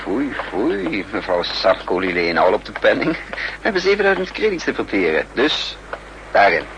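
A man's voice: on the low side, very animated, normal speed. An actor playing a suave, wily male spy in a 1960s Dutch radio drama, nonchalant and theatrical. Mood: playful, confident. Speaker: actor and narrator. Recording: 1960s radio recording with faint hum.

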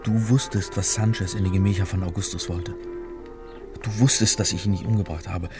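An actor playing a rattled but dryly wry amateur sleuth in a naturalistic German radio crime drama. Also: male voice, sits low, steady tone, fairly quick, over music. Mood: accusing, shaken.

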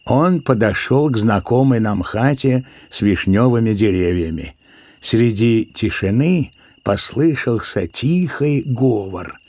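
A man narrating a literary audio drama in a measured, dramatic manner. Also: mid-range voice, expressive, a little mellow, no echo, clean studio sound.

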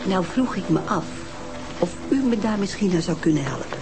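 An actress playing a bitter, self-pitying elderly woman who complains in a drawn-out way, in a Dutch TV detective drama. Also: mid-range voice, very animated, music in the background, close to an everyday voice. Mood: aggrieved. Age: older.

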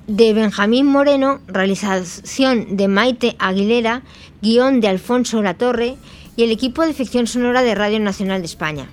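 Higher-voiced woman presenting on radio.

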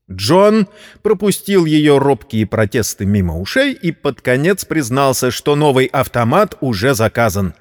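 A man narrates a dramatic story in a middle-pitched voice that moves widely up and down. His delivery is expressive and theatrical. A long reverb trails behind his words. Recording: long reverberant hall sound.

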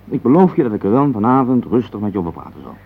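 Brisk, low-voiced businessman husband. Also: very animated, gravelly, slightly rough, full character voice. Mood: impatient, dismissive. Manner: brisk, patronising, full of tag questions. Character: busy businessman husband, dismissive, impatient, placating. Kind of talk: radio play acting. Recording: dull lo-fi radio play recording.

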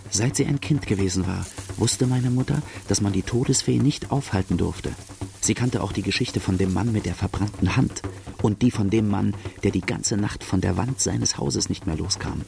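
An actor playing a brooding outlaw who gravely narrates his own life story in a radio drama. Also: on the low side, moderate pace, warm tone, slight background noise. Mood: grave, haunted. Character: brooding young male Irish-Australian outlaw telling his life.

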